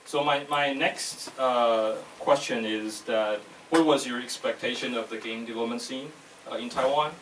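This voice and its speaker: A man moderating an informal live panel in English and Mandarin, asking loosely phrased, halting questions. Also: medium pitch, steady tone, a bit bright. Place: live talk event in a room. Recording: echoey room, distant mic, faint noise.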